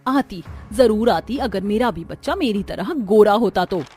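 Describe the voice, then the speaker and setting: A woman's voice: mid-range voice, lively intonation, moderate pace, darkish tone, soft background music, lightly acted. A woman voicing a bitter, short-tempered young mother in a cartoon story, harsh and emphatic.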